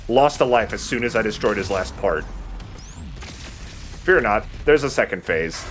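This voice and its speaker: A man's voice: fairly low pitch, animated, normal speed, fairly bright tone, faint music behind. A man giving casual commentary as he plays a video game, chatting and swearing lightly. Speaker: let's play host playing the game. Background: video game sound.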